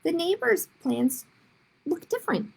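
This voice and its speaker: A woman's voice: extremely high pitch, very animated, unhurried, vocal fry, pitched above the performer's own voice, full character voice, low-quality recording. A woman voicing a curious, questioning young girl in a children's storybook. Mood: curious, puzzled. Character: curious young girl, pleading and doubtful about her garden.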